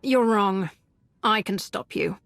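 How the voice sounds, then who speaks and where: An actress playing a determined, defiant Sith challenger in a video game, firm and confrontational. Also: female voice, mid-range voice, slow, fairly bright tone, no echo. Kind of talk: dramatic video game acting.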